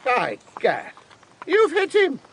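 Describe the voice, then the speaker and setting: An actor playing a hearty eighteenth-century gentleman, a sporting companion, exclaiming in a period radio drama. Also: extremely high pitch, wildly swooping pitch, takes it slowly, heavily acted. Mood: teasing. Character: jovial, teasing 18th-century gentleman shooting companion.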